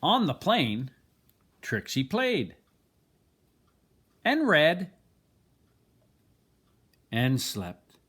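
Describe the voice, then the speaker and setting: An older man reading a children's picture book aloud, expressively and deliberately, with dramatic pauses. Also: on the high side, huge pitch swings, a bit bright, breathy edge. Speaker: storybook reader.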